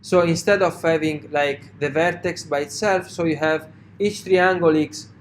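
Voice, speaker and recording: A man with a strong Italian accent lecturing a university class, speaking haltingly with many fillers and checking in with "right?". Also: fairly high pitch, steady tone, slight background noise.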